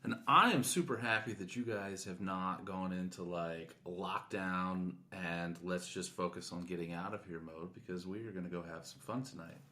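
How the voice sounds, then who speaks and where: A man's voice: low-pitched, normal speed, few pauses, sharp tone. A man co-hosting a podcast in casual chat. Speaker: podcast co-host.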